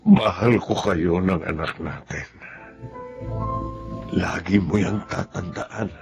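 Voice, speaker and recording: Middle-aged husband, radio drama, slow and tender, gravelly, husky, sentimental. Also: male voice, sits low, soft background music, heavily acted. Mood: tender, wistful. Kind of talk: Filipino radio drama acting.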